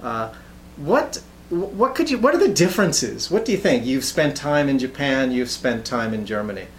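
A man's voice: medium pitch, very animated, normal speed. Man, host, hesitant, lots of uhs, roomy echo, faint hum. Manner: hesitant, many restarts and uhs. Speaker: show host. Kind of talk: casual interview hosting.

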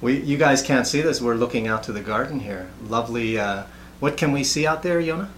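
A man's voice: mid-range voice, fairly quick, a bit bright, echoey room, a little hiss or hum. A man hosting a casual interview show, talking hesitantly with many uhs and restarts. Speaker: show host.

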